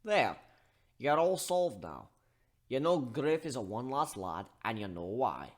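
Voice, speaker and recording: Man acting giant male minotaur, gruff big heart, folksy, blunt, bossy, fantasy story character. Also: medium pitch, sing-song, a little slow.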